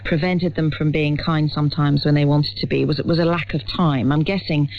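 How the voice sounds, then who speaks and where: A woman's voice: low-pitched, steady tone, normal speed. A British woman presenting a radio interview programme in a conversational, inquisitive way. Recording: dull radio recording, loud music.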